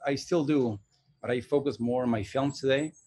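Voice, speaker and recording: A man's voice: medium pitch, moderate pace, darkish tone. A man in his forties from Argentina, an animation director and teacher, talking thoughtfully with many hesitations and restarts in a casual interview. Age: 40s.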